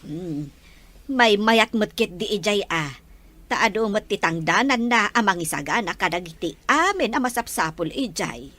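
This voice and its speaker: A woman's voice: mid-range voice, animated, moderate pace, a bit bright. An actress playing a practical, content mother in a radio drama. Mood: pleased.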